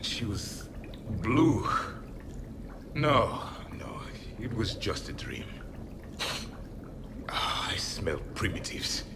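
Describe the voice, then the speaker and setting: An actor playing a haughty ancient alien warrior in a video game, groggy and halting, scornful of lesser species. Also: male voice, lowish voice, very animated, background noise, heavily acted. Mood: disgusted.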